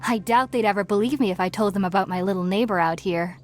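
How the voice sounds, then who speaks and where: A woman playing an earnest, gentle young researcher in a video game, explaining things in a thoughtful, articulate way. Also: mid-range voice, fast talker, lightly acted. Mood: earnest, reflective. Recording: music behind the voice.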